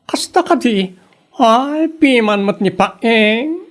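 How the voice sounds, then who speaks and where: A woman's voice: on the high side, animated, takes it slowly. A woman playing a prying, sympathetic village friend in a comedy audio drama, questioning and a little wry. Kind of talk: Ilocano comedy radio drama acting. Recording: faint music under the voice.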